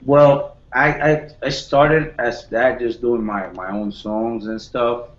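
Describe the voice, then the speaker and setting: A man in his twenties from the Dominican Republic, a home studio producer chatting as a guest, modest and halting with many restarts. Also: medium pitch, steady tone, roomy sound, muffled recording. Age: 20s. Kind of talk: casual video call chat.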